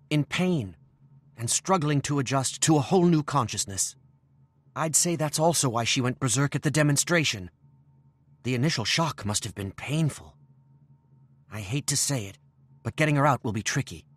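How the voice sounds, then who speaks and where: A man voicing a matter-of-fact, analytical gnomish scientist in a video game, with a clinical, measured manner. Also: medium pitch, lively intonation, slightly rough, no room sound. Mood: clinical reassurance. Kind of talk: video game cutscene voice acting.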